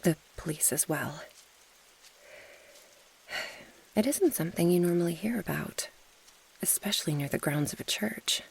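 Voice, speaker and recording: A woman playing an earnest, protective young mage girl in a fantasy ASMR roleplay, with a soft, breathy voice. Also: lowish voice, lively intonation, fairly quick, heavily acted, rough recording. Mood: concerned.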